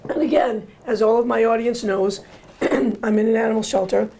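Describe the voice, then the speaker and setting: A woman hosting a casual interview, her voice hoarse and going, rambling and repeating herself with joking asides. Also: fairly high pitch, fairly even intonation, moderate pace, dark tone, low-quality recording. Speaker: host.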